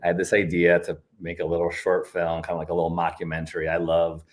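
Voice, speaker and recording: A man with a slightly deep voice hosts a casual chat. He is enthusiastic and informal, cutting into his own sentences as he goes. The recording is dry, with no real room sound. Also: monotone, quick pace, no echo. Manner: enthusiastic, informal, self-interrupting. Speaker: live chat host.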